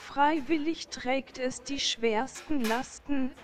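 Prim girlish sister, radio drama, high voice, slow deliberate delivery, doting and earnest. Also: soft background music. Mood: prim, reproachful. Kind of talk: German radio drama acting.

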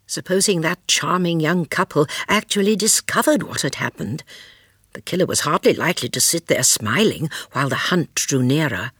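A shrewd, gentle old lady sleuth in a radio drama. Her voice is very raspy and breathy, set a little low, with lively swoops in pitch. She speaks with measured, genteel earnestness and caution. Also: dry recording, studio quality, full character voice. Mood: reflective. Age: older. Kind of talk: radio drama acting and first-person narration.

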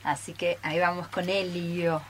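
A woman's voice: fairly low pitch, little pitch movement, moderate pace, very noisy. A woman with an Argentine accent informally presenting a radio theatre show live.

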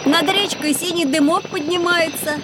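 High-voiced, curious young boy. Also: steady tone, moderate pace, noisy background. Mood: excited. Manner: eager, wondering. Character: curious young boy, keen on aircraft, dreamy. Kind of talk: children's educational audio drama.

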